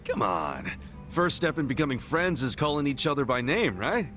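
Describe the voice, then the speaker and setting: Brash, animated middle-aged ex-gangster. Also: male voice, medium pitch, normal speed, soft background music. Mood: friendly, prodding. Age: 40s. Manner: casual, blunt, slangy, expressive. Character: brash, good-hearted middle-aged male ex-yakuza. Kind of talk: English video game voice acting.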